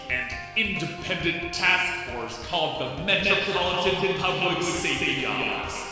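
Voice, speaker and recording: A man hosting a scripted video essay, delivered in a sarcastic, wry and conversational way. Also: fairly high pitch.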